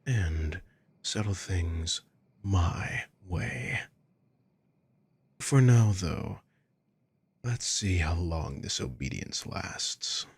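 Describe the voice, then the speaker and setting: A man playing a sadistic, controlling villain in an ASMR roleplay, breathy and husky, speaking with slow, condescending, taunting deliberation. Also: deep voice, expressive, no echo, exaggerated voice. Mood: menacing, possessive. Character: sadistic, controlling male villain toying with a captive.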